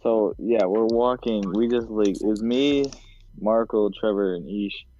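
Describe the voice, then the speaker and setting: A man's voice: fairly low pitch, measured pace, dark tone, muffled recording. A young man chatting casually with friends, slangy and bantering as he tells stories. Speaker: podcast co-host. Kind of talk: casual chat. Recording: background music under voice. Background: music.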